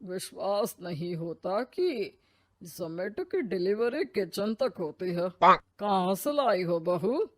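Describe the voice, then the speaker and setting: A woman voicing a mild, agreeable elderly father-in-law who gently teases in a cartoon story. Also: medium pitch, sing-song, takes it slowly, no room sound. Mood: teasing. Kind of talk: cartoon moral story acting.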